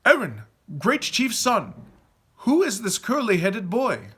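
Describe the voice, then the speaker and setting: A man's voice: sits high, wildly swooping pitch, slow pace, fairly bright tone, breathy edge, pitched above the performer's own voice, exaggerated voice. A man voicing a self-assured young male leader of holy knights in a storybook, stately and probing. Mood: commanding.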